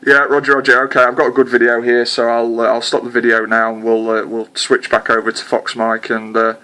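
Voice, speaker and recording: A man's voice: mid-range voice, completely flat delivery, fairly quick, bright, forceful tone. A man chatting hesitantly with a distant contact as a CB radio operator, with lots of filler sounds. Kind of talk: casual radio contact chat.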